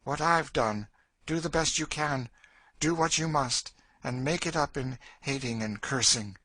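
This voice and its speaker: A man's voice: medium pitch, measured pace, sharp tone, dry recording, voice pushed up. A man voicing a bitter, despairing, world-weary enslaved woman in an audiobook, dry and emphatic in manner. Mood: bitter, hard. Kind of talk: audiobook character acting.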